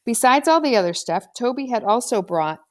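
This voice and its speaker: A woman's voice: fairly high pitch, expressive, a little fast. A woman reading a children's novel aloud as scripted audiobook narration. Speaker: audiobook reader.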